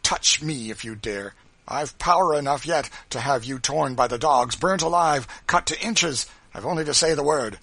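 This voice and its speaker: A man's voice: mid-range voice, fairly even intonation, moderate pace, a bit bright, a little hiss or hum, voice pushed up, lightly acted. A man voicing a proud, bitter enslaved woman in an audiobook, cold, scornful and defiant. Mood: defiant fury.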